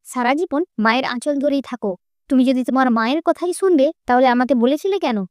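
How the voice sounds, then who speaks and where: A woman's voice: high-pitched, fast, darkish tone, dry recording, studio quality, close to an everyday voice. A woman voicing a jealous, bitter young married sister-in-law who complains and scolds in a cartoon story. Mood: angry. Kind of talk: Bengali cartoon fairy-tale voice acting.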